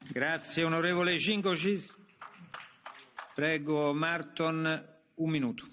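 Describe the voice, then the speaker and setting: A man's voice: a little high, steady tone, a little slow, a bit bright. Man chairing a parliamentary session. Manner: clipped, formal, calls speakers and sets their time. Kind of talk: brief procedural announcements. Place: parliament plenary chamber. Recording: reverberant chamber, faint noise, clicks.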